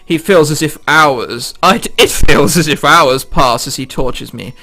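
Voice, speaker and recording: A man's voice: a little high, unhurried, pushed and bright. A man who is a let's player reading a visual novel aloud dramatically, with exclamatory, sweary joking commentary.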